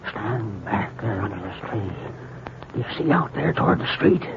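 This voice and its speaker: An actor playing an indignant, fussy neighbour who grumbles and accuses, in old radio comedy style. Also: male voice, lowish voice, expressive, moderate pace, vocal fry. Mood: hushed, urgent. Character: indignant, grumbling male neighbour, victim of theft. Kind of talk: 1940s radio comedy acting. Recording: tinny, hissy old radio broadcast.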